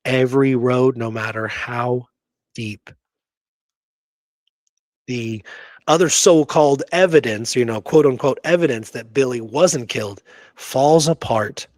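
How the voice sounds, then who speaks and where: A man's voice: mid-range voice, measured pace, long pauses, dry recording. Emphatic, informal man hosting a history discussion. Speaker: podcast co-host.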